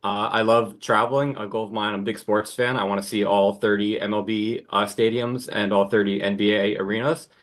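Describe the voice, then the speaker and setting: Young American man, lowish voice. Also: level tone, a little fast, hardly any pauses. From New Jersey, United States. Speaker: contestant. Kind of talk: casual self-introduction.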